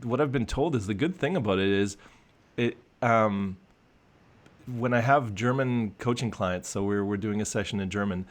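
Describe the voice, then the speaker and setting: A man's voice: fairly low pitch, normal speed. A man working as a coach, talking in a casual conversational discussion, reflective and full of restarts and fillers. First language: English.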